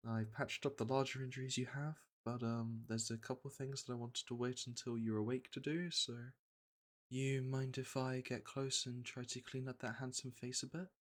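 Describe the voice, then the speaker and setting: A man playing a gentle veteran monster hunter in a fantasy ASMR roleplay, speaking softly and reassuringly, with a hesitant, matter-of-fact manner. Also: mid-range voice, flat delivery, moderate pace, lightly acted. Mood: calm, reassuring. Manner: soft, hesitant, soothing delivery.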